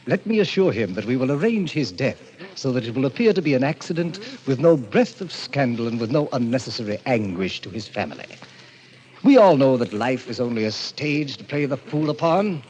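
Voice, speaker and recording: An actor playing a suave, sinister middle-aged club president, theatrical and courtly, in 1940s radio drama style. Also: male voice, mid-range voice, a little mellow, a little hiss or hum, lightly acted. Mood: solemn, ceremonial. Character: suave, sinister fifty-year-old president of a death club. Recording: muffled old-time radio recording.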